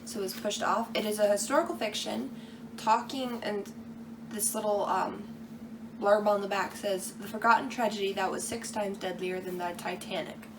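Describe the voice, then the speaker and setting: A woman's voice: medium pitch, steady tone, normal speed, distant mic. A woman shows off her new books with eager, rambling chatter. She sits a little back from the mic, and a faint hiss runs under her voice. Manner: enthusiastic, rambling. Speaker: co-host. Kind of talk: casual book haul chat.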